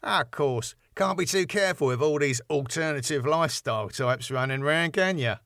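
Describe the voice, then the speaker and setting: A man voicing a breezy, cheeky, quick-thinking lad who bluffs in colloquial speech. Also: fairly high pitch, fairly bright tone, no echo, clean studio sound, voice pushed up.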